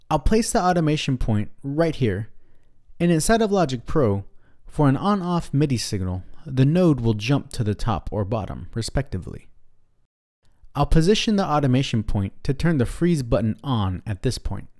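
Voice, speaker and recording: A man's voice: mid-range voice, warm tone. A man narrating a scripted instructional tutorial in a methodical, step-by-step way.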